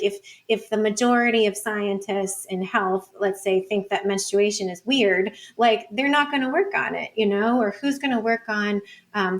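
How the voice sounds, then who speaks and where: A woman with a mid-pitched voice in a casual, intellectual interview. She works through her ideas out loud as a researcher, in an informal way peppered with "like" and "you know.". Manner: informal, thinking aloud with frequent "like" and "you know". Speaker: podcast guest, researcher.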